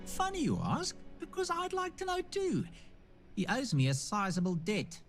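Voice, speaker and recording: Plain-spoken game shopkeeper, highish lively voice, folksy and a bit wistful. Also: male voice, moderate pace, soft background music, exaggerated voice. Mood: regretful, firm. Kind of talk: video game character dialogue.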